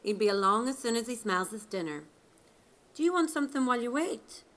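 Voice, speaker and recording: A woman's voice: on the high side, animated, brisk, a bit bright. A woman playing a wry Irish wife. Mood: breezy, sly. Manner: matter-of-fact, wry. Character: quick-witted, unflappable Irish wife, calmly cunning. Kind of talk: audio drama legend acting.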